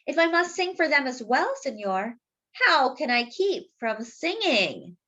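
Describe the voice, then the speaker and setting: A brave little storybook rooster performed by a woman, defiant and steadfast. The voice sits a bit high and moves freely up and down, declaiming each line as a proud statement. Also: sing-song, a little slow, bright, forceful tone, dull audio, exaggerated voice. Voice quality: high crowing on its calls.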